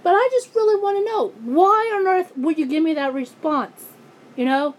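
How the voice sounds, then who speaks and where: Angry youtuber woman ranting, voice high and going hoarse, keeps restarting sentences. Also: expressive, normal speed. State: hoarse, losing her voice. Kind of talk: ranting vlog.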